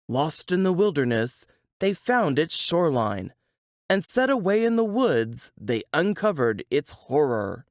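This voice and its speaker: Slow, suspenseful woman storyteller, very expressive. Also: deep voice, no room sound, clean studio sound. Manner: suspenseful, deliberate storytelling with dramatic pauses. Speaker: author reading from their novel. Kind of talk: dramatic audiobook-style suspense story reading.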